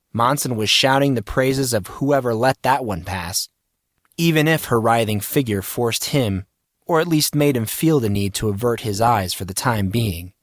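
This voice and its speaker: A man narrating scripted fiction in a measured, expressive storytelling manner. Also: mid-range voice, no room sound, clean studio sound. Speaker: audiobook narrator.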